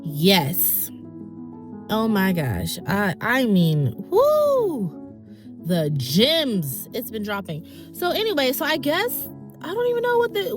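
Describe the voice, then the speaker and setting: A young woman with a nasal voice hosting her own podcast, chatty and self-mocking in a casual solo talk. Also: medium pitch. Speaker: podcast host.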